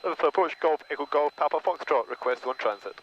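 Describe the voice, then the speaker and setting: A man's voice: on the high side, fast talker. A man piloting a light aircraft, making clipped, formulaic radio calls and reading back instructions over an aviation headset. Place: small propeller aircraft cockpit. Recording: dull, noisy cockpit intercom. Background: engine drone.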